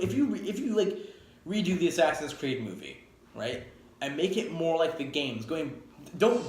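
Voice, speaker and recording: A man giving a casual, opinionated spoken review, rambling and emphatic, often correcting himself as he goes. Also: on the high side. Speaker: video game movie reviewer. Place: echoey spot up by a street. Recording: echoey, noisy, near street traffic. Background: traffic and engine noise.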